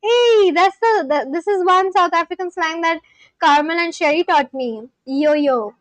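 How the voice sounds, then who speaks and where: A woman's voice: very high voice, measured pace, no echo. A woman from India, chatting casually on a live stream at home and answering her chat aloud. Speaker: language-learning livestreamer. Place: at home at a laptop.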